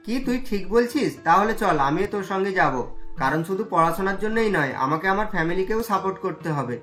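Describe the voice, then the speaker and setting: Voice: medium pitch, expressive, fairly quick, a bit bright, soft background music, voice pushed down, lightly acted. A performer voicing an earnest, worried young man, a poor college student, in a cartoon story.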